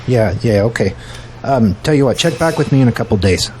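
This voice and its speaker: A gruff but kindly boss played by a man in an audio drama. His mid-range delivery is casual and hesitant, over faint background ambience. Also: medium pitch, lively intonation, a little fast, a little mellow, a little hiss or hum, close to an everyday voice.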